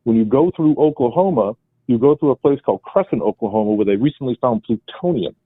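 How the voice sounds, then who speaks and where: A man's voice: mid-range voice, animated, fast, slightly rough, no echo. A man with a Boston accent calling in to a radio show, explaining at length with rambling emphasis. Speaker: caller. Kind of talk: call-in radio talk. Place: home, with his wife beside him. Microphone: speakerphone, then handset. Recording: dry phone line.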